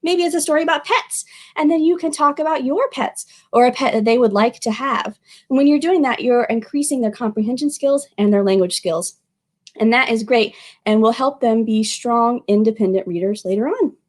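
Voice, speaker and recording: A woman speaking as a children's librarian at storytime, expressive and addressing young listeners directly. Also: on the high side, moderate pace. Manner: animated, expressive, with exclamations and questions to young listeners. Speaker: children's librarian reading aloud. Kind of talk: storytime picture-book reading and friendly address to children.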